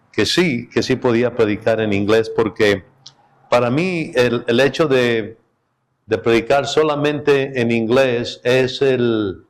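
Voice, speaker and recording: A middle-aged man, a guest pastor whose first language is Spanish, speaking in a relaxed, chatty way as he opens a sermon in a church. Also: medium pitch. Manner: relaxed, chatty and joking with the congregation. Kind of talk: sermon opening. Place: church sanctuary.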